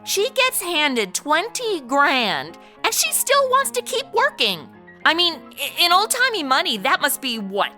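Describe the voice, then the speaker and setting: A woman's voice: high voice, wildly swooping pitch, measured pace, sharp tone, soft background music, heavily acted. A woman with an American accent playing a brash, boozy modern party girl, bantering and narrating in a comedy audio drama. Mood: tipsy, snarky. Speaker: lead of the show.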